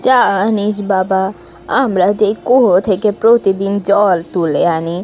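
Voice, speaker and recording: Casting: actress for middle-aged cartoon village mum, warm relaxed timbre, confiding, cautious, superstitious. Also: female voice, medium pitch, a little slow, soft background music, close to an everyday voice. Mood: uneasy confiding. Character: superstitious, cautious old-fashioned village mother. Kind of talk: cartoon voice acting.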